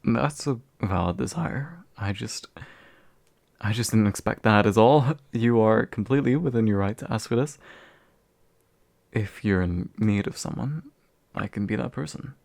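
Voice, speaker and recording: Young man acting as a polite male demon, low creaky voice, soft-spoken, formal, soothing, gentle dealmaker, ASMR roleplay. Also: normal speed, no room sound. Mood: surprised, accepting. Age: young adult. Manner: formal, soothing, hesitant at times. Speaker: voice actor.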